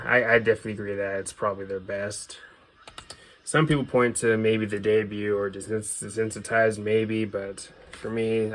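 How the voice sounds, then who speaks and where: A man giving casual, unscripted commentary as a video host, offhand and hedging his opinions. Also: fairly low pitch. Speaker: video host showing a music CD collection. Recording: clear background noise.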